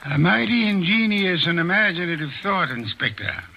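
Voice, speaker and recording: An actor playing an imperious, fastidious middle-aged detective in old radio drama, speaking in precise, measured phrases. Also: male voice, high voice, sing-song, gravelly, lo-fi sound, full character voice. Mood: smug. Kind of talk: 1940s radio acting.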